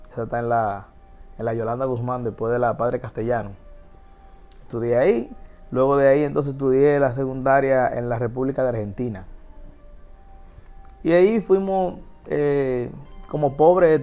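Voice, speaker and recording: A Dominican man in his thirties talks through his story in an easy, rambling way, often restarting his sentences. His voice sits at an everyday middle pitch, with faint music in the background of the studio. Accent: Dominican Spanish. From Dominican Republic. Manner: relaxed, chatty storytelling with frequent restarts. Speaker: guest DJ and TV host. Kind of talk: casual interview chat. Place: TV studio set.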